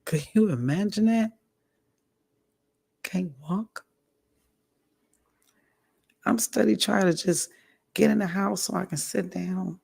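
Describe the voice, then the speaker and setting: A woman with a deeply raspy, gravelly voice talks slowly and haltingly, pausing often to clear her throat. Her voice is husky and strained from hoarseness as she gives a candid personal update to her audience. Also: a little mellow. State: hoarse, recovering from a stroke. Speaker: vlogger. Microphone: audio only, home recording.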